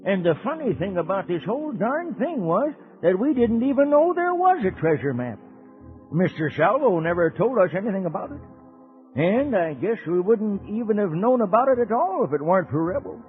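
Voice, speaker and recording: Folksy old cowboy uncle, high lively voice, rustic drawl, children's radio serial, dull vintage recording. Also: male voice, moderate pace, soft background music. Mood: folksy, genial. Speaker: narrator and character in a children's serial. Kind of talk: 1940s-50s radio western serial acting.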